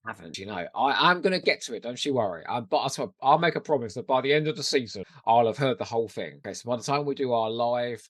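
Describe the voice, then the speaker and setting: A man with a mid-pitched voice that swings up and down a lot. He chats casually as a podcast co-host, rambling and joking at his own expense, in a dry, close recording. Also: expressive, fast talker, hardly any pauses, dry recording. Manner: jokey, self-deprecating, rambling. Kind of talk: casual chat.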